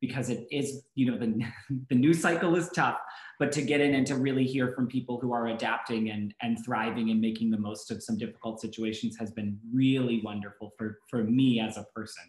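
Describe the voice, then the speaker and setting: A man's voice: mid-range voice, steady tone, brisk, darkish tone. A man co-hosting an online meetup introduces himself, full of fillers and self-corrections. His voice carries a noticeable room echo over the video call. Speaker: social media team member co-hosting.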